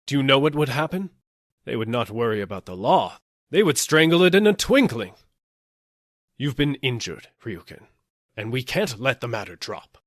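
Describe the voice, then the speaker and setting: A self-important police superintendent played by a male reader blusters through a storybook tale. He is stern and bossy, with a very animated, swooping delivery, recorded close and dry. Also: mid-range voice, no room sound. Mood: scornful. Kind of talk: audiobook character acting.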